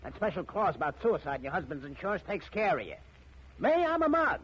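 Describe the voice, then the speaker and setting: Callous American gangster, high voice, swooping delivery, slangy and bossy, old radio crime drama. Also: male voice, wildly swooping pitch, fairly quick, fairly bright tone, full character voice. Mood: scornful. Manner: slangy, brusque, domineering. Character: tough, callous male racketeer, slangy and bossy. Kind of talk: 1940s radio drama acting. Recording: muffled, noisy old 1940s radio recording.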